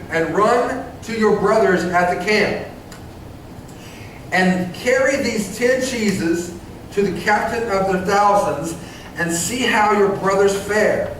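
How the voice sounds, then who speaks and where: Echoey middle-aged pastor, high voice. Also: male voice, a little hiss or hum. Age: 40s. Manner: halting, many restarts and stammered repeats; conversational, asks the congregation questions. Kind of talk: sermon. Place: church sanctuary. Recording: very echoey church, voice from far off.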